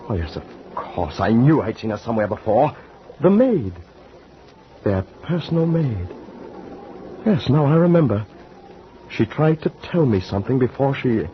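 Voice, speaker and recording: A haunted male witness in an old radio drama, speaking slowly and haltingly and stumbling over his words. His voice sits fairly low. The recording is dull and faintly hissy. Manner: haunted, halting storytelling with stammered repeats. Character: shaken man who witnessed a murder at sea. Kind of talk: 1940s radio acting.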